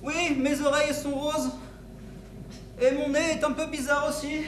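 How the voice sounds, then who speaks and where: A man's voice: extremely high pitch, little pitch movement, measured pace, a bit bright. A man voicing an odd-looking, lost shepherdess outcast in improvised stage comedy, plaintive and earnest. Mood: forlorn. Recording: distant mic in echoey noisy hall.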